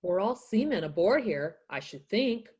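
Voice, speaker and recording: A woman's voice: medium pitch, sing-song, normal speed, a little mellow, natural voice. A woman playing a suspicious, pushy mutinous sailor in a radio play. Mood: probing, insistent.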